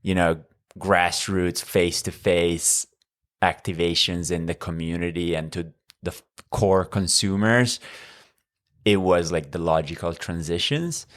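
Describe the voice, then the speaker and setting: A man in his thirties from Northern Italy, speaking English with an Italian accent as a podcast guest, measured and full of fillers. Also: sits low, fairly even intonation, a bit bright. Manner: measured, with frequent fillers and restarts. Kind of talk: conversational interview.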